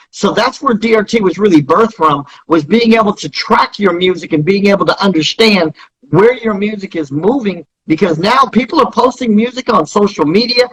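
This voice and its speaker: High-pitched, husky-voiced man explaining earnestly. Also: rough recording. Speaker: interview guest, company founder. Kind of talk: promotional interview talk.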